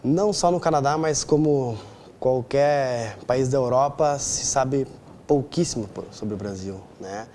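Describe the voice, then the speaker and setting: A man's voice: mid-range voice, a little slow, warm tone, slight background noise. A man in his twenties from southern Brazil with a southern Brazilian accent, answering conversationally as an interview guest and often adding tag questions for agreement. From Rio Grande do Sul, southern Brazil. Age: 20s.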